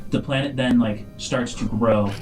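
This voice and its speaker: A man's voice: medium pitch, steady tone, a little slow, darkish tone, faint music behind. A man working as game master, improvising narration for a science-fiction tabletop game.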